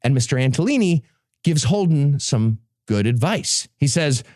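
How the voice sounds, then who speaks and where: A man's voice: mid-range voice, sing-song, moderate pace, a little mellow, no echo, studio quality. A man hosting a comedy podcast, giving a casual, sarcastic and irreverent book recap. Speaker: podcast host presenting a book summary.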